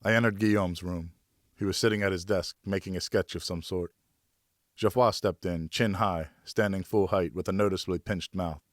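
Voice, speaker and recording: A man's voice: low-pitched, dry recording, studio quality. A man reading a novel aloud as an audiobook narrator, in scripted first person.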